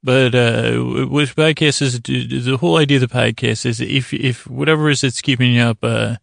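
Male sleep-podcast host: extremely gravelly, mid-pitched, dry sound, halting and stammering, meandering whimsical delivery. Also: steady tone, dry recording. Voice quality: gravelly, creaky. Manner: halting, stammering, meandering with frequent self-interruption.

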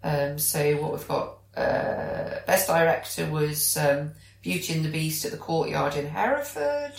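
A woman's voice: low voice, unhurried, gravelly. A British woman co-hosting a podcast, chatting casually and enthusiastically. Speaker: podcast co-host. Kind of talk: casual chat. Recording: echoey room.

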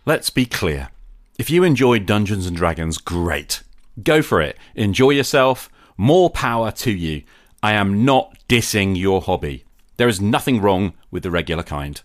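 A man hosting a podcast, giving a reflective monologue in measured, emphatic phrases with deliberate pauses. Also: lowish voice, big pitch swings, dry recording, studio quality. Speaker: podcast host.

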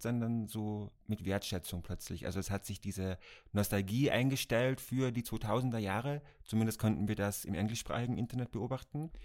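A man's voice: medium pitch, darkish tone. A man, co-hosting a podcast in a playful, mock-serious way. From Austria. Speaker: podcast co-host. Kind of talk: casual podcast chat.

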